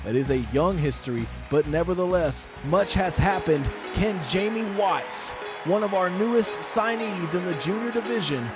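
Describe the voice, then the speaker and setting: A man's voice: high voice, moderate pace, over music. A man doing dramatic, emphatic play-by-play commentary on a wrestling show. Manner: dramatic, emphatic announcer delivery. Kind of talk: live sports commentary.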